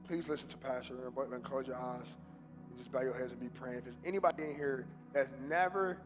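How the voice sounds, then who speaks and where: A man preaching a sermon as a pastor, mixing casual slang with fervent preaching that swings between loud urgency and hushed prayer. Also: medium pitch, fairly quick, long reverb tail, music in the background. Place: church sanctuary.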